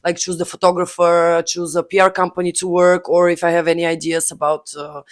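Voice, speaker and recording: A woman in her thirties from Bulgaria, speaking English with a Bulgarian accent, chatting as an interview guest with many pauses and fillers. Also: on the low side, flat delivery. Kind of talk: casual interview chat.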